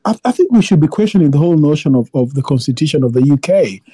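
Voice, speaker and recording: Halting, dark-voiced caller man. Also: a little high, expressive, breathy edge, no room sound. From Africa. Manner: halting, many repeated words and restarts. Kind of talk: impassioned phone-in opinion.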